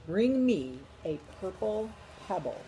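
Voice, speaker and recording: A woman voicing a calm, mysterious magic lizard in a storybook read-aloud, with a slow, chanting manner. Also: mid-range voice, muffled recording, a little hiss or hum.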